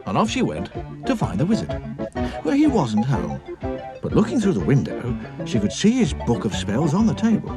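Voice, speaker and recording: Guy reading a kids story, super up and down pitch, music behind him. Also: huge pitch swings, moderate pace. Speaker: narrator. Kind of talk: animated children's storybook narration.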